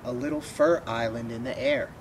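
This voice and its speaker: A man's voice: a little high, big pitch swings, normal speed, creaky, noisy background, pitched above the performer's own voice, exaggerated voice. A man voicing a small, bold, curious kitten in a children's storybook, with a questioning delivery. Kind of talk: storybook read-aloud.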